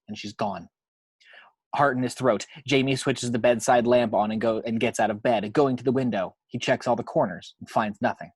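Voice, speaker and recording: Husky, dry-sounding man narrating a script. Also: mid-range voice, no room sound. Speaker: reader of action descriptions.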